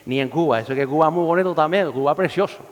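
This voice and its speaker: A man's voice: on the high side, rapid-fire. A man, a pastor, preaching a sermon and lifting his voice on scripture quotes. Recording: long reverb of a hall.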